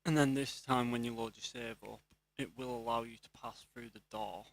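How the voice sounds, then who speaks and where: A man's voice: medium pitch, fairly even intonation, moderate pace, dry recording, poor audio. A man giving casual let's play commentary as a video host, explaining things step by step to viewers. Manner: explanatory, talking viewers through steps.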